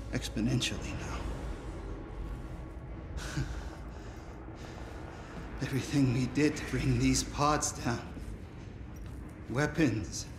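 An actor playing an anxious, desperate male scientist in a video game cutscene, halting and earnest in his delivery. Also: medium pitch, background noise. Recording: roomy echo, loud music behind.

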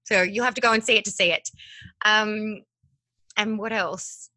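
A woman in her thirties, a TV host and actress, chatting as a podcast guest over a video call with a desk mic, bubbly and quick to laugh. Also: mid-range voice, steady tone, bright, forceful tone, no room sound. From Australia. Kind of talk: casual podcast interview. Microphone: desk condenser mic, video call.

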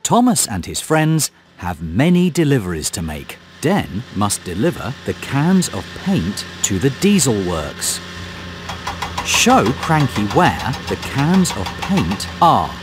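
Very animated male children's narrator. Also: medium pitch. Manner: clear, deliberate instruction to young children. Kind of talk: scripted children's storytelling narration. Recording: music under the voice.

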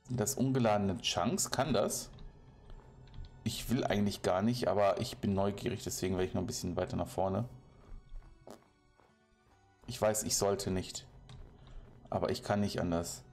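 A man's voice: fairly low pitch, fairly even intonation, normal speed, long pauses, darkish tone, soft background music. A German man giving casual, playful commentary while playing a game, chatting to the animals and villagers he meets. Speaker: let's play commentator.

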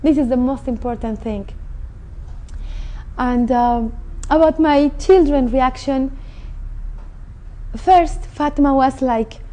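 Mexican spanish accent lady, high warm voice, earnest and emphatic, lapel mic in a tv studio. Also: slow pace, long pauses, poor audio. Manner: earnest, emphatic, speaks with feeling. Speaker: interview guest. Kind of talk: personal testimony. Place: indoor TV studio set.

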